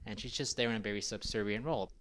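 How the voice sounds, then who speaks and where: A man's voice: on the low side, rapid-fire, fairly bright tone. A man co-hosting a movie podcast, skeptical and analytical as he argues his point. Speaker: podcast co-host. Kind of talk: casual movie critique chat.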